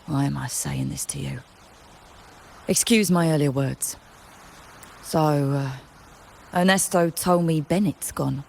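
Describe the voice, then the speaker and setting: Game NPC: a skeptical woman merchant, lower voice, dry and direct. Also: takes it slowly, gravelly, long pauses, darkish tone, slight background noise, exaggerated voice. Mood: weary. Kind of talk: video game voice acting.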